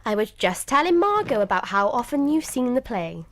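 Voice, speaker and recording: Radio play role: a woman as a brisk, loyal, teasing wife, fairly high voice, animated and emphatic. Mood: insistent. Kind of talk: radio drama acting.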